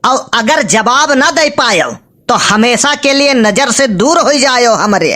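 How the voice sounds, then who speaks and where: High, sharp, skeptical Awadhi cartoon villager played by a woman. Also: animated, unhurried, exaggerated voice. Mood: challenging. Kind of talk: comic cartoon dialogue in village dialect.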